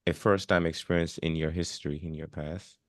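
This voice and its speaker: A man's voice: low voice, fairly even intonation, fairly quick, no echo, clean studio sound. A man from Jamaica hosting a podcast, asking questions in a casual interview and often murmuring agreement while his guest talks. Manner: warm listener, frequent murmured agreement. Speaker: podcast host.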